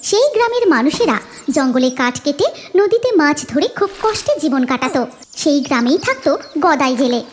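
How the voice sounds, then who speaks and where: A woman's voice: high-pitched, very animated, normal speed, a little hiss or hum. A woman narrating a scripted cartoon story in a dramatic storytelling manner.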